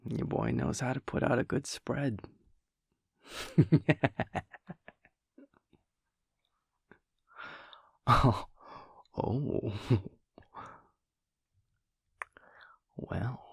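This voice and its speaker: A man playing a flirty but tender werewolf in an intimate romantic audio roleplay, speaking in a husky, breathy murmur with a halting, teasing manner. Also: medium pitch, no room sound, whispered, studio quality, heavily acted. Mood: playful, suggestive. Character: flirty, feisty male werewolf, tender and earnest underneath.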